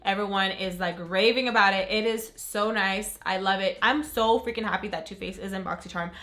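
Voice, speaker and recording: A young woman who is sick and raspy, chatting excitedly in a casual beauty unboxing. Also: mid-range voice, fairly quick. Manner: gushing, upbeat, says "freaking" a lot. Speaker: beauty vlogger. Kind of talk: casual unboxing chat to camera.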